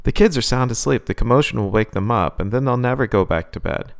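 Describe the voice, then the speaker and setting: A man's voice: mid-range voice, expressive, quick pace, gravelly. A man doing a defiant, determined wife. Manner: sharp, insistent.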